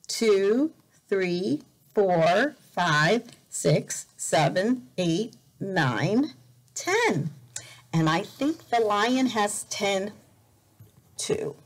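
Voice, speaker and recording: A woman teacher reading a picture book aloud as a lesson for young learners, deliberate and expressive, repeating words carefully. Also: medium pitch, rough recording. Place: indoor room, at a desk.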